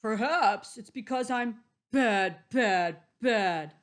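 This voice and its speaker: A lonely storybook wolf speaks in a loud, earnest voice, as a woman reading aloud plays him. He sounds overly polite and eager to be liked. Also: fairly high pitch, slow. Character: lonely male wolf trying hard to be good.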